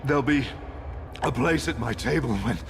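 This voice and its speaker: An actor playing an arrogant, desperate schemer in a video game, with a gravelly voice. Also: male voice, mid-range voice, normal speed, close to an everyday voice. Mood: smug, strained. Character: arrogant, profane male schemer, rattled and desperate. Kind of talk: video game cutscene acting. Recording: noisy ambience behind the voice.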